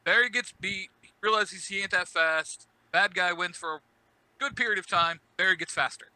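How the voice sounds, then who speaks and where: A man's voice: high-pitched, normal speed, bright, forceful tone, no echo. A man chatting casually as a podcast guest, explaining things like a knowing fan. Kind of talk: casual chat.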